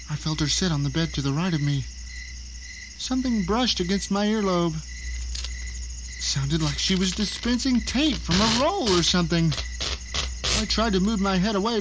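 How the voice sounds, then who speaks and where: A man's voice: high voice, noisy background. A man playing a bound, panicked novelist, narrating his captivity in an exclamatory audio drama style. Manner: theatrical, exclamatory. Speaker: narrator and lead actor.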